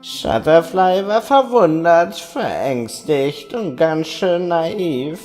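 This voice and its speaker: Guy playing a sly trickster creature telling a spooky audio play story, slow n dramatic, music going behind. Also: on the high side, sing-song, darkish tone. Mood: ominous, suspenseful. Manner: slow, suspenseful, theatrical. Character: mischievous male chimera trickster telling spooky campfire tale. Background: background music.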